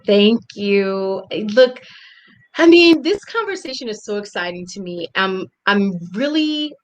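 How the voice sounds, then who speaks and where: A woman's voice: mid-range voice, animated, a little slow. A young woman hosting a live interview over a video call, earnest and reflective. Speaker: host. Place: indoor room at home.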